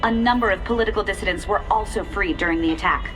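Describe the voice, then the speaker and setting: A professional American news reporter played by a woman speaks at a medium pitch in a brisk, composed way. It is a scripted broadcast from a game cutscene, with music running behind. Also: natural voice, rough recording. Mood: composed, serious.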